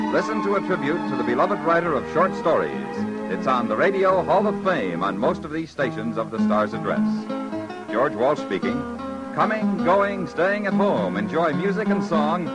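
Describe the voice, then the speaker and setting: A man's voice: fairly high pitch, big pitch swings, moderate pace, low-quality recording. A man reading scripted closing announcements as a 1950s radio announcer. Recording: vintage radio, loud music behind.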